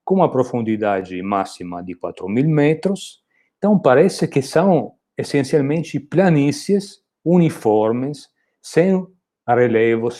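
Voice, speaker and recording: A man's voice: mid-range voice, dark tone. A man from Italy with an Italian accent, speaking Portuguese as a non-native speaker while giving a university lecture, explaining steadily with occasional hesitations and restarts. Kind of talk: recorded online lecture.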